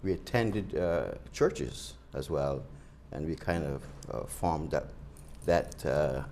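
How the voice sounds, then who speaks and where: A man's voice: extremely low pitch, slow, darkish tone, slight background noise. An older man from Barbados, a pastor, answering interview questions earnestly with many restarts.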